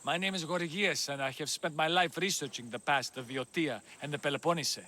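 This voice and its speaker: An actor playing a courteous, anxious scholar who talks earnestly in a video game. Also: male voice, on the high side, a little fast, sharp tone. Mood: worried. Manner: polite, earnest, worried.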